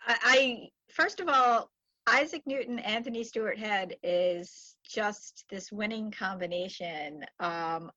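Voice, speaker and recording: A woman's voice: medium pitch, slow, sharp tone, no room sound, dull audio. A woman hosting a podcast in a chatty, rambling, casual interview style. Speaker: podcast host.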